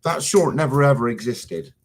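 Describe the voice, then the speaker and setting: A man's voice: medium pitch, big pitch swings, normal speed. A man hosting a casual live stream, rambling, swearing often and losing his thread.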